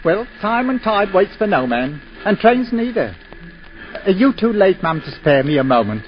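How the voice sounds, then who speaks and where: An actor playing a kindly, proud village station master, homely and eager, in a 1940s radio play. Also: male voice, high-pitched, very animated, normal speed. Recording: muffled 1940s radio broadcast with music.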